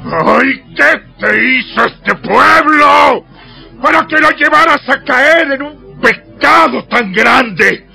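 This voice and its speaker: An actor playing Moses as an anguished, pleading, indignant prophet who stammers, in a dramatized audio Bible. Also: male voice, very high-pitched, animated, vocal fry, bright, forceful tone, faint music behind, heavily acted. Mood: distraught, angry. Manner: halting, stammering.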